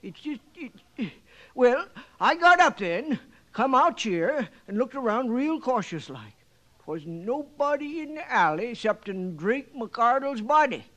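High-pitched folksy western stable keeper. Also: male voice, sing-song, measured pace, a bit bright, full character voice. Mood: excited, spooked. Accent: American Western. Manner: rambling, hesitant, chuckling folksy storyteller. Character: folksy, garrulous, excitable livery stable owner in old West. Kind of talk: old-time radio western acting.

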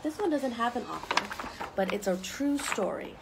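Animated, high-voiced woman reading to kids. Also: normal speed, gravelly, heavy background noise. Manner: expressive, simple explanations aimed at young children. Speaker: story time reader for children. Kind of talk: children's picture book read-aloud with asides. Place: outdoors on a wooden deck among trees.

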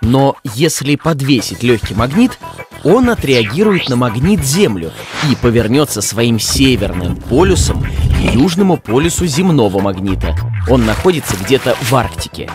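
A man's voice: mid-range voice, big pitch swings, moderate pace, over music, natural voice. A man playing a playful science explainer, narrating an educational children's cartoon in a didactic, scripted way. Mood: explanatory, playful.

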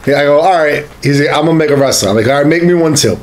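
Brash higher-voiced man swearing casually. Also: racing, roomy sound. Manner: brash, profane. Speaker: podcast co-host. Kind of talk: casual banter and storytelling. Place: small home studio room. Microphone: desk boom microphone.

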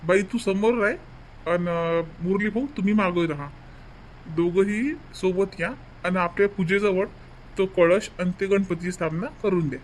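High-pitched village priest played by a man. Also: fairly even intonation, normal speed, a little hiss or hum, natural voice, poor audio. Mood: instructing. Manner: instructing, repeats his directions. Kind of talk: comedy cartoon dialogue.

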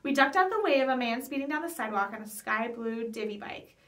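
A woman's voice: on the high side, lively intonation, fast, a bit bright. A young adult woman reading a children's chapter book aloud expressively, with small stumbles over words. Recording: voice picked up from some distance.

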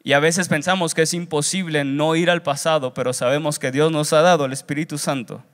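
High-voiced young preacher man in hall. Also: fairly even intonation, a little fast. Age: young adult. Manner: earnest, didactic, repeats phrases for emphasis. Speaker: seminary student preacher. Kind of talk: sermon. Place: large church auditorium stage. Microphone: handheld wired microphone. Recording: reverberant hall through PA.

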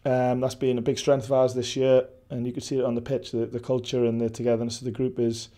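A Welsh man in his forties, a football head coach, giving a measured post-match interview with many restarts and fillers. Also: medium pitch, monotone, warm tone. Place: indoor press backdrop at a stadium.

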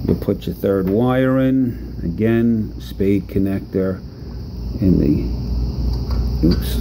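A man talking through a hands-on repair demonstration in a relaxed, halting way with many fillers, recorded off-camera at an indoor workbench. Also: lowish voice, noisy background. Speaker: product demonstrator.

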